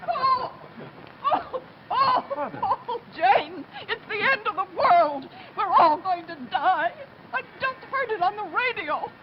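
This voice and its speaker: A hysterical, terrified woman in a melodrama. She wails in an extremely high voice. The recording is lo-fi and very noisy. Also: lively intonation, slow, full character voice. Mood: hysterical terror. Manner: wailing panic. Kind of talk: melodramatic screen drama acting. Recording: dull lo-fi, loud noise.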